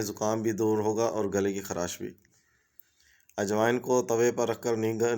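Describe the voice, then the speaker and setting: A man, steadily reading out a list of home remedy tips as a channel host. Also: fairly low pitch.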